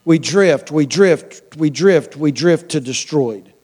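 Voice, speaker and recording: High-pitched American man preaching. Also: expressive, slow pace, a little mellow, slightly rough. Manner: conversational, repeats phrases for emphasis, rhetorical questions, colloquial. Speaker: pastor preaching to a congregation. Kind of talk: sermon.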